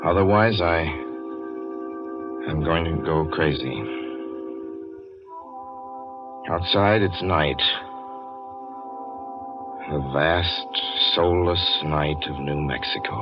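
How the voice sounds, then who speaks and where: An actor playing a frightened, haunted traveller in a 1940s radio drama, brooding and intense, stammering when rattled. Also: male voice, very deep voice, slow, long pauses. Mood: desperate, brooding. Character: frightened haunted male traveller narrating his nightmare road trip. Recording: dull vintage 1940s radio recording, music behind.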